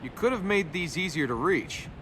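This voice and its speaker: A man's voice: expressive, normal speed, a bit bright. A male actor playing a cocky, wry young adventurer in a video game, with a casual, sardonic manner. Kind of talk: natural video game character acting. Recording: game capture with rumbling ambient noise.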